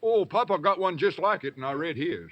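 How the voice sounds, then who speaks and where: An actor playing a big, slow-witted, innocent country lad in an old-time radio comedy, hesitant and literal-minded. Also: male voice, fairly high pitch, expressive, natural voice. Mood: puzzled. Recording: very noisy, muffled old radio recording.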